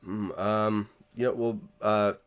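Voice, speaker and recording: Soft-spoken young man, low voice. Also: little pitch movement, takes it slowly, smooth. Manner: easygoing, soft-spoken, rambling with fillers. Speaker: podcast guest, musician. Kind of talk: casual chat.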